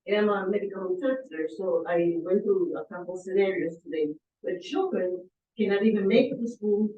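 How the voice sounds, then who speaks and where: A woman's voice: mid-range voice, a little fast, a little hiss or hum. A woman from the Dominican Republic who works as a medical interpreter, earnestly asking questions from the audience. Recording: echoey room, distant mic, muffled.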